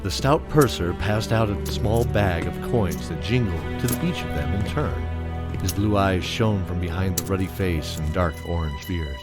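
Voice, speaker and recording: A man giving scripted narration for a fantasy radio drama in a steady, descriptive storytelling manner. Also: low voice, moderate pace. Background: loud music.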